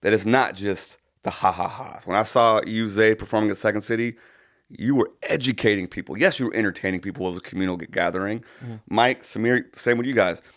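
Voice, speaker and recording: A man's voice: on the low side, brisk, fairly bright tone. A man co-hosting a casual podcast, talking thoughtfully and rambling with many restarts. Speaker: podcast co-host.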